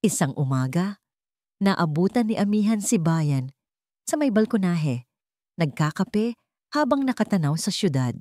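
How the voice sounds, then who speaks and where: Female narrator, lowish register, scripted dramatic storytelling, very dry sound. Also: very animated, measured pace, pauses often, dark tone, dry recording.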